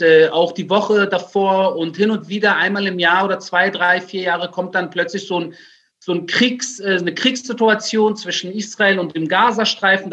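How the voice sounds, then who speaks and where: A man's voice: sits high, a bit bright, lo-fi sound. A man in his forties from Germany, explaining things conversationally as an interview guest over a remote connection. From Germany, Berlin. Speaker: interview guest, writer and military spokesman.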